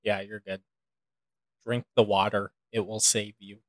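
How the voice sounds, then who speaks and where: A man's voice: fairly low pitch, little pitch movement, dry recording. Casual American man, emphatic and dry-sounding. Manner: halting, emphatic, jokingly dramatic. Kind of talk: casual chat.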